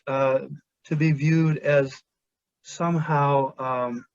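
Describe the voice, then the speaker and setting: A male chaplain whose first language is Pennsylvania Dutch, giving a measured, earnest webinar talk with pauses and restarts. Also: fairly high pitch, dry recording. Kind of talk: webinar presentation. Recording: dull, dry lo-fi audio.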